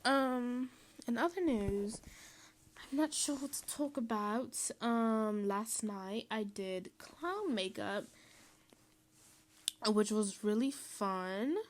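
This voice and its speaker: Rambling young woman vlogger. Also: a little high. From Mexico. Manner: rambling, lots of "um" and "like", quick laughs. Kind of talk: casual chatty voiceover.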